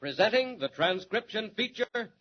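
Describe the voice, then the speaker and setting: Man, 1940s radio announcer, dramatic booming delivery, lively pitch, lo-fi transcription. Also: moderate pace. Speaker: announcer and narrator.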